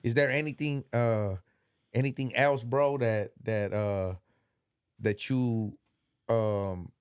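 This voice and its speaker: A man's voice: mid-range voice, steady tone, takes it slowly, clear, resonant tone, no echo, clean studio sound. A middle-aged man hosting a podcast in a loose, rambling way, congested and full of slang and fillers. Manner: rambling, filler-heavy, laid-back slang. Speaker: podcast host. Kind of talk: casual chat.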